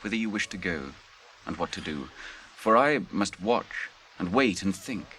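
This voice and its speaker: Grave warrior captain, lowish voice, widely swinging intonation, archaic theatrical phrasing, proud yet merciful, 1980s radio drama, background noise. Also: male voice, expressive, normal speed, bright, forceful tone, rough recording. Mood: gentle, solemn. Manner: formal, archaic, theatrical delivery.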